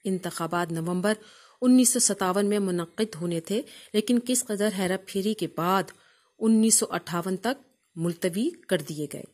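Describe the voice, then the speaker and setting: A woman with a Pakistani accent narrates a literary memoir in a measured, formal storytelling manner. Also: medium pitch, darkish tone. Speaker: audiobook narrator reading a memoir.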